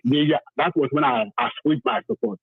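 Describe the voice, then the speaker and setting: A man's voice: a little high, expressive, brisk, creaky, husky. A man from southern Nigeria with a Nigerian accent, calling in to a talk programme and speaking with passion and emphasis, repeating phrases to drive his points home. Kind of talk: impassioned phone-in testimony. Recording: thin phone-line sound, roomy.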